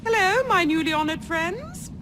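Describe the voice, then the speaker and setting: A woman's voice: very high voice, lively intonation, moderate pace, noisy background. An actress playing a gracious, commanding British queen in a video game, stately and formal, with an English accent. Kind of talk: video game cutscene acting.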